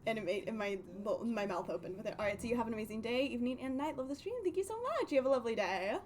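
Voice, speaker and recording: A woman's voice: fairly high pitch, animated, very fast, darkish tone, slight background noise. A woman casually chatting as a livestream host, rambling playfully and often breaking off mid-thought. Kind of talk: casual gaming stream chat.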